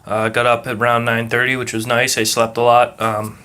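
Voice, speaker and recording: A young man with a muddled Massachusetts accent, talking in a calm, rhythmic way and rambling casually with lots of ums and uhs. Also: mid-range voice, brisk. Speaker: vlogger. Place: at work.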